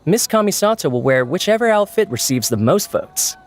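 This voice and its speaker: An actor playing a polite, eager event organizer in a video game, speaking in a formal, explanatory way. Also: male voice, high voice, big pitch swings, brisk, soft background music. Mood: earnest, explanatory.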